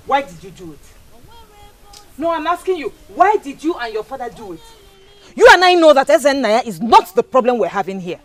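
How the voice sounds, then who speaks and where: A woman's voice: high-pitched, big pitch swings, moderate pace, pauses often. An actress playing a young woman engaged to be married, firm and emphatic. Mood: accusing.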